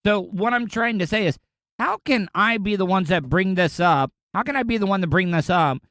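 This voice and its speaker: A man's voice: high-pitched, moderate pace, a bit bright, no room sound, clean studio sound. A man, hosting a podcast and ranting sarcastically about the news in a rambling, indignant way. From Florida. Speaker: podcast host. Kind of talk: casual ranting commentary.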